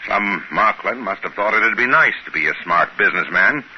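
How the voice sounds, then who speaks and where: An American actor playing a smooth-talking, decisive trading-post boss in a 1950s radio drama. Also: male voice, fairly low pitch, animated, normal speed, lo-fi sound, close to an everyday voice. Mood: serious, urgent. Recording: hissy band-limited 1950s radio recording.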